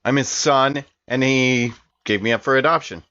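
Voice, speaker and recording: A man's voice: mid-range voice, moderate pace, pushed and bright, no room sound, highs cut off. A man playing a tabletop game, chatting casually and improvising as his character. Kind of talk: casual improvised role-play chat.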